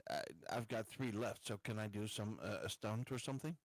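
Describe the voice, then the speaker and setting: A man playing a tabletop game, talking casually and haltingly as he searches for his words. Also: on the low side, fairly even intonation, no room sound.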